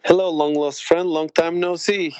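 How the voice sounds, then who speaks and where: A man from Bulgaria in his late thirties, a podcast guest telling his story in a chatty, laughing way with halting restarts. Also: fairly high pitch, fairly even intonation, a little mellow, clean tone, no echo. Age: late 30s. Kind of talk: casual interview chat.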